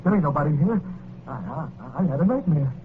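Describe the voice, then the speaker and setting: Through a noisy, phone-thin old radio recording comes a panicky American gangster played by a man. His high voice stammers with guilt, a slow-witted thug out of his depth in a vintage radio play. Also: expressive, phone-quality sound, natural voice. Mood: nervous, pleading. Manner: stammering, agitated. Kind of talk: 1940s radio drama acting.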